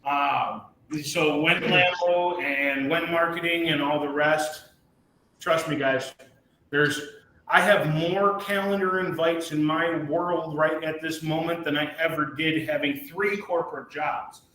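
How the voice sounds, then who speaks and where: A man's voice: on the high side, fairly even intonation, slow pace, sharp tone. A man in his thirties hosting a live community video call, talking emphatically, tagging lines with "okay?" and swearing freely. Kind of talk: hyped live-stream pep talk. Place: large office meeting room. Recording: echoey room.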